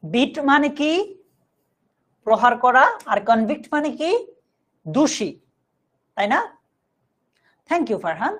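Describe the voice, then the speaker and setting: A Bangladeshi woman with a fairly high voice teaches a live online class. She explains rules step by step in an instructive way, puts questions to her students and slows right down to dictate examples. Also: very animated. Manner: instructive, repeatedly checking understanding, slowly dictating example sentences. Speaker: online English grammar teacher.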